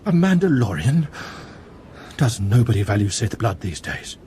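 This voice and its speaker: An actor playing a haughty, anxious colonel in a video game, pompous and insistent. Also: male voice, fairly low pitch, big pitch swings, moderate pace, mellow, a little hiss or hum. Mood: disdainful. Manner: pompous, pressing.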